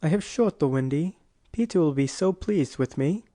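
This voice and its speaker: A woman's voice: lowish voice, moderate pace, warm tone, no echo, studio quality, natural voice. A woman voicing a solemn, earnest young boy character in a storybook reading. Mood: proud. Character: young lost boy, proud then sorrowful and afraid.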